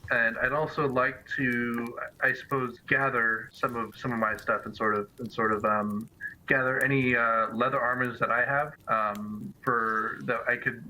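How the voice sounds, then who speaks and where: A man with a very bright, sharp voice talks as a player in a tabletop game. He is casual and hesitant, circling back over his words. Faint noise sits behind him. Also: medium pitch, fairly even intonation, normal speed, rough recording. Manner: hesitant, repeats himself and asks questions. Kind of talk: casual tabletop roleplay talk.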